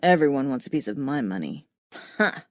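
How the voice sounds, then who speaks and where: A woman voicing a smug, scornful dead male banker in an audiobook, with a gruff sardonic manner. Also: very deep voice, very animated, normal speed, dry recording, studio quality, voice pushed down. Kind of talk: audiobook character voice.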